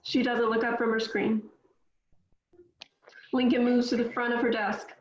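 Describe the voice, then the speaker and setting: A woman reading stage directions aloud at a table read in a plain, measured way. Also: a little high, little pitch movement, reverberant, lo-fi sound.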